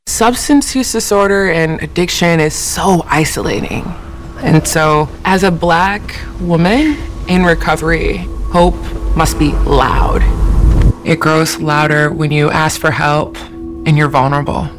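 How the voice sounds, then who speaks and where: A woman's voice: deep voice, unhurried. Earnest woman's testimonial over music. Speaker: person in recovery.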